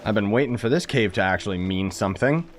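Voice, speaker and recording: Male streamer, sarcastic running commentary, animated pitch. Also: fast talker, slight background noise. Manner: sarcastic, jokey asides. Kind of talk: let's play commentary.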